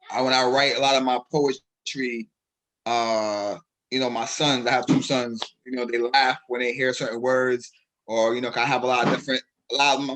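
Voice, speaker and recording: Brooklyn guy with a bajan accent, mid pitch, stops and restarts a lot, chatty radio guest. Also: fairly bright tone, low-quality recording. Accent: Barbadian (Bajan). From Brooklyn, New York. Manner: hesitant, many restarts and fillers. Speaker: poet, interview guest. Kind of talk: casual radio interview.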